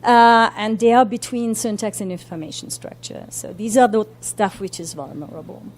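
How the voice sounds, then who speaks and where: Fast-talking woman lecturing with many fillers. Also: medium pitch, a little mellow, slight background noise. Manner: talks quickly with many fillers and self-corrections. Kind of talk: academic lecture. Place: university lecture room.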